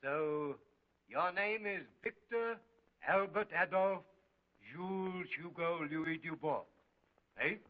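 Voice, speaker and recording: A curt, stern police prefect. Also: male voice, high voice, takes it slowly, pauses often, phone-quality sound. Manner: curt, scornful questioning.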